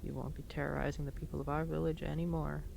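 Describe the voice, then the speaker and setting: A woman playing a hardened, contemptuous werewolf huntress in an audio roleplay, with a low, creaky voice and a deliberate, menacing delivery. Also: level tone, background noise. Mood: cold contempt. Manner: deliberate, menacing, articulate interrogation. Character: hardened, contemptuous werewolf huntress grieving her missing friend. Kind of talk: scripted audio roleplay, dramatic monologue.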